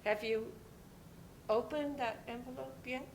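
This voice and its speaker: A woman playing a kind, motherly neighbour, gentle, expressive and encouraging, in a staged reading of a play. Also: a little slow. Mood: gentle, careful. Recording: live recording with faint rumbling noise.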